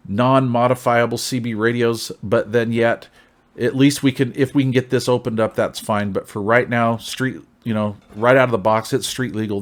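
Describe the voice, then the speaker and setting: An American man hosting a casual product review in a folksy, long-winded, conversational way, often checking in with the listener. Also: lowish voice. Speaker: CB radio reviewer, channel host.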